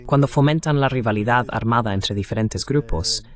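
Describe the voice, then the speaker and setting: A man's voice: medium pitch, moderate pace, natural voice. A man voicing a translated interview host in a political discussion.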